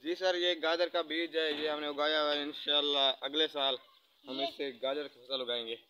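A man's voice: a little high, little pitch movement, normal speed, bright, forceful tone, lo-fi sound, slight background noise. A teenage boy proudly explaining the vegetables he grew, talking outdoors in a village garden to a phone camera. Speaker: young gardener showing his crops.

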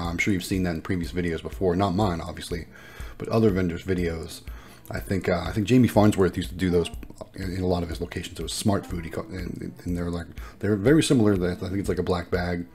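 A man's voice: low-pitched, dark tone. A man narrating a casual vlog in an upbeat, appreciative way, explaining things as he goes. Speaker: vlogger and vending machine operator.

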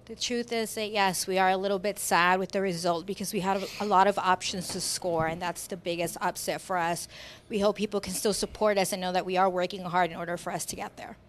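A fairly low-voiced woman relays a player's answers in calm, plain summaries. A faint room ambience sits behind her voice. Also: normal speed, slight background noise. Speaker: interpreter. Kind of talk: consecutive interpretation into English.

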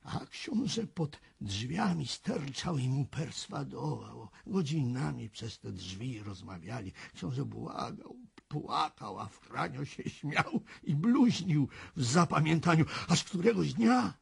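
An actor playing an agitated, haunted old castle servant in a radio drama, confessing a horror in halting, folksy, dramatic speech with a raspy, creaky voice. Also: male voice, on the high side, sing-song, exaggerated voice.

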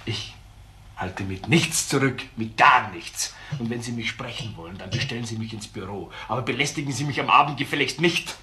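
An actor playing an irritable, evasive suspect in a crime radio play, defensive and curt. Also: male voice, mid-range voice, a little fast, pushed and bright, a little hiss or hum, close to an everyday voice. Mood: defensive, irritated. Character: evasive, irritable male murder suspect brushing off police. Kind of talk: German crime drama dialogue.

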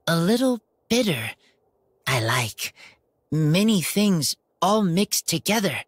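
A woman playing a shy, earnest wolf-raised teenage boy in a video game, speaking in halting, terse phrases with a husky voice. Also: deep voice, full character voice. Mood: focused. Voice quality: husky, breathy. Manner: halting, terse broken sentences. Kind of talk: video game character acting.

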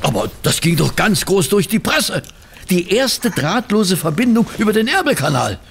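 A man playing a chatty, good-natured Victorian gentleman who fusses and mutters, in a German audio drama. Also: sits high, very animated, moderate pace, vocal fry, a little hiss or hum, full character voice. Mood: musing, eager. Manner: fussy, muttering to himself while reading.

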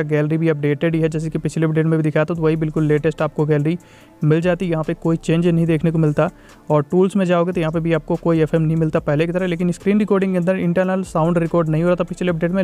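A man's voice: fairly high pitch, mellow, clean tone. A man giving a casual, repetitive tech review voice-over, mixing in English tech terms.

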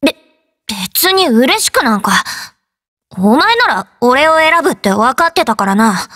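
Game role: hot-headed girl fighter, boyish wording, high animated voice, defensive and blunt. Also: unhurried, full character voice. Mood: brash, flustered. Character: brash, hot-tempered tomboy girl fighter who hides embarrassment. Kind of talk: game character voice lines.

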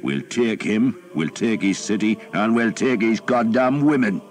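A man voicing a gruff, stoic northern English archer captain with blunt, grunting speech. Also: deep voice, animated, normal speed, darkish tone, a little hiss or hum.

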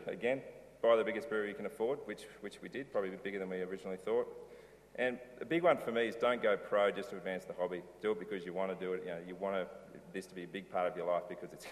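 A middle-aged man giving a casual conference talk from a lectern, rambling loosely with many ums and restarts. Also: low voice. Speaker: conference speaker, brewery owner. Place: large dark auditorium stage. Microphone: lectern mic, filmed from far in the hall. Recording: reverberant hall, distant camera.

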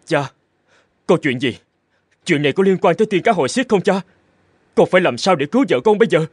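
A man playing a desperate young husband and devoted son in an animated fairy tale. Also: sits high, fairly quick, breathy edge, no room sound, studio quality. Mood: anxious.

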